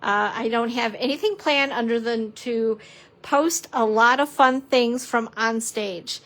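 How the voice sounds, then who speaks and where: Slightly high-voiced woman demoing card making, chatty, giggles at herself, voice going a bit hoarse. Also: unhurried, a bit bright. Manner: chatty, giggly, self-deprecating, exclamatory. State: hoarse, losing her voice. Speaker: papercrafting instructor. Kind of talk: live-stream craft demonstration.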